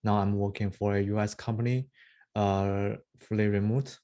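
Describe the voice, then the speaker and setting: A man's voice: fairly low pitch, flat delivery, moderate pace, dark tone. A man from China introducing himself briefly as a mentor on a video call, understated.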